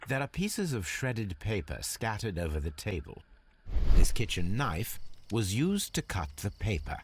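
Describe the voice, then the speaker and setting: Deep male voice, English accent, shrewd gentleman detective, formal, analytical, precise, animated intonation, video game monologue. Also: unhurried, slight background noise. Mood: deducing, assured.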